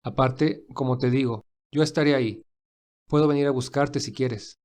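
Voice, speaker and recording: A man playing a kind, busy, wealthy gentleman who speaks warmly and reassuringly in an audio fan drama. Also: mid-range voice, fairly even intonation, moderate pace, close to an everyday voice. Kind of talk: acted audio fan fiction dialogue.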